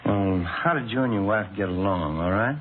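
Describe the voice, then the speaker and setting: Man as a low-voiced, low-key police detective. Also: moderate pace, lightly acted. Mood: gentle, questioning. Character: mild, married male police detective, low-key partner. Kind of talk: 1950s radio police drama. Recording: tinny, noisy vintage radio recording.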